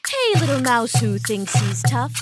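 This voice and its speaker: Lady doing a huffy bossy boy dove, pompous, stretches words out, very animated. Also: a little high, over loud music. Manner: pompous, affronted, drawn-out emphasis. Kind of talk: children's storybook character voice.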